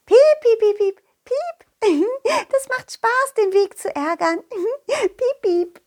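A woman voicing tiny, excitable, playful mice with a thin, squeaky voice that peeps between phrases in a children's audio play. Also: full character voice. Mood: gleeful, playful. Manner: peppers talk with peeping noises.

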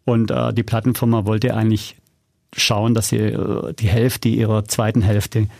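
A man's voice: on the low side, level tone, measured pace, gravelly, darkish tone, no echo, clean studio sound. A middle-aged man talking casually as a podcast guest, telling anecdotes in a candid, self-critical and colloquial way. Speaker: podcast guest, audio drama producer. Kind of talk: casual interview, anecdotal storytelling.

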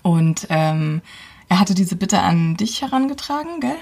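A woman's voice: on the low side, very animated, a little slow, vocal fry, a little mellow. A woman around forty, a podcast guest telling a personal story casually and thinking aloud as she goes. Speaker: podcast guest, host's partner.

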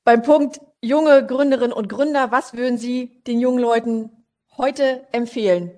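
A woman with a moderately high voice works as an interview host. She speaks conversationally, encourages her guest and turns directly to the listeners. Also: moderate pace, darkish tone.

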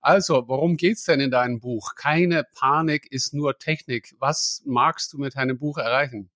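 A man's voice: medium pitch, normal speed, a bit bright, no room sound. Male podcast host, friendly interviewer, plain mid voice, dry recording. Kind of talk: interview hosting.

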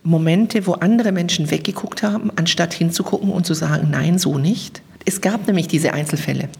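A woman's voice: lowish voice, fairly quick, vocal fry, darkish tone, slightly rough. A woman speaking as an interview guest, explaining her views in German. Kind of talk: spoken interview answers, explanatory.

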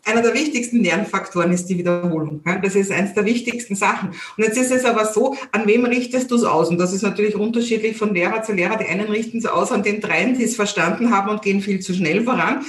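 A middle-aged woman with a Viennese accent, an entrepreneur and learning coach talking in an interview, lively and opinionated, often repeating her first words. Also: mid-range voice, fast, few pauses, echoey room. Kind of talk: casual conversational interview.

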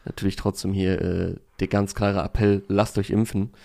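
Male podcast host, a bit hoarse, low-ish voice, easygoing and talkative. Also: normal speed. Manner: relaxed, talkative, with frequent fillers. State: slightly hoarse. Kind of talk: casual music review chat.

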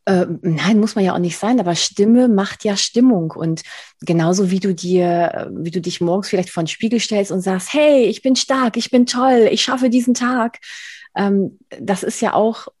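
A woman's voice: mid-range voice, normal speed, breathy edge, dry recording. Chatty woman host, dry close sound. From Spain. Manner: chatty, laughs often, warm and effusive. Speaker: podcast host. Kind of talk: casual interview chat.

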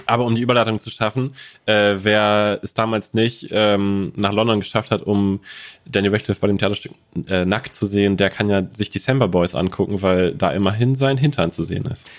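Tiefere Männerstimme, grübelnd im Podcast. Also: male voice, on the low side, steady tone, a little fast. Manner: musing, asks questions, addresses listeners. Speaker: podcast co-host. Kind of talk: casual film podcast chat.

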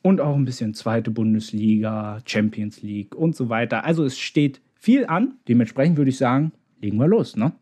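A Berlin-born man hosts a casual football podcast, talking in a loose, chatty way, restarting sentences and filling pauses as he thinks aloud. His voice is dark and mellow, and the recording sits in a noticeably echoey room. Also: medium pitch, expressive. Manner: chatty, hesitant, many restarts and fillers. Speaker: podcast host.

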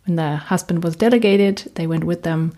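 A woman podcast host with a German accent and a slightly low-pitched voice. She is a native German speaker who works as a coach, and she talks directly to her listeners in a conversational solo presentation. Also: normal speed, warm tone.

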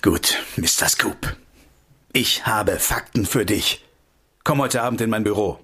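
A man's voice: on the low side, measured pace, sharp tone, slightly rough, heavily acted. An actor playing an agitated, indignant insider and father in a naturalistic radio drama, speaking insistently and with sarcasm. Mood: bitter.

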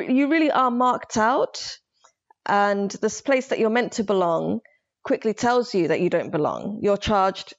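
A woman from Somalia speaking thoughtfully in a casual book conversation, pausing and restarting as she reflects. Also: medium pitch. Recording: slightly dull lo-fi sound.

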